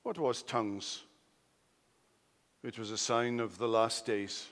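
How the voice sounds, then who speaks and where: Welsh preacher with booming hall echo. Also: male voice, steady tone, slow. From Wales. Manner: emphatic, swings between loud declarations and quiet asides. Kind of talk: expository sermon. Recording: long hall reverb.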